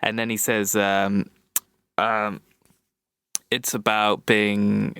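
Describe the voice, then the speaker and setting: A man's voice: on the low side, flat delivery, extremely slow, a bit bright, clear, resonant tone, no echo, clean studio sound. A man co-hosting a podcast from home over an internet call, retelling film scenes eagerly and apologising as he goes. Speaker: podcast co-host. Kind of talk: casual chat about a film. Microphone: home mic on a video call.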